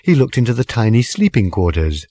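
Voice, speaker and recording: A man reading comic fiction as a measured, expressive audiobook narrator. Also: medium pitch. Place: dry studio.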